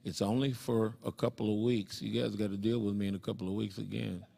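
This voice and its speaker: A man's voice: fairly low pitch, level tone, a little fast, gravelly, mellow. Guy emceeing a networking meetup, rambly and joking, echoey hall sound. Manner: jokey, rambling, self-deprecating asides. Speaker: networking group host. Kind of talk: live event emcee talk. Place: event hall. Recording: reverberant event hall.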